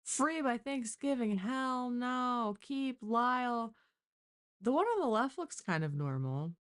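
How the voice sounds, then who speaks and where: A woman's voice: a little high, sing-song, slow pace, a bit bright. A woman hosting a live stream, giving casual, blunt and mocking reaction commentary full of exclamations. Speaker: live stream host reacting to a crime case.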